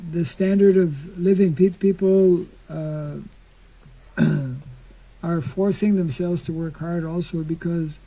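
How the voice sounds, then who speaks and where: An older monk giving an informal scripture class outdoors into a stand mic, speaking slowly and haltingly and clearing his throat often. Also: on the high side, steady tone, dark tone, low-quality recording. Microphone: stand mic in front.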